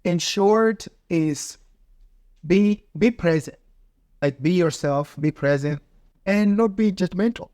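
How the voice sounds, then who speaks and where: A man's voice: high-pitched, a little mellow, dry recording. Earnest man, dry close sound. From Mozambique. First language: Ndau. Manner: halting, earnest, pauses to search for words. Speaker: guest, farmer and peace builder. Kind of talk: casual interview talk.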